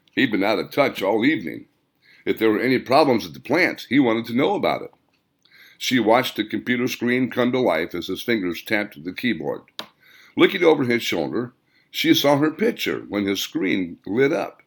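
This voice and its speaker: A man reading his own fiction aloud as scripted audiobook narration, in a deliberate manner. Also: lowish voice.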